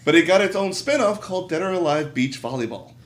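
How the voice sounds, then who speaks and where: A man's voice: sits high, lively intonation, quick pace. A man co-hosting a casual discussion of games and movies, explaining things conversationally. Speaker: podcast co-host. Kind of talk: casual chat about video games and movies.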